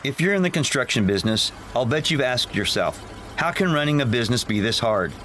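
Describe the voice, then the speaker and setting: Older male host, medium pitch, scripted podcast intro read over faint vehicle noise. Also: sing-song, a little fast, fairly bright tone.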